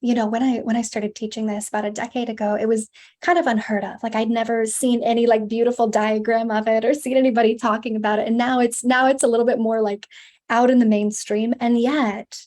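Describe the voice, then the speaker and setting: Woman, mellow tone, slightly high voice, flowing emphatic speech, frequent "like" fillers. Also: flat delivery, fairly quick. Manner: flowing, emphatic, repeats words and says "like" often. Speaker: guest, women's embodiment teacher. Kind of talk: conversational interview.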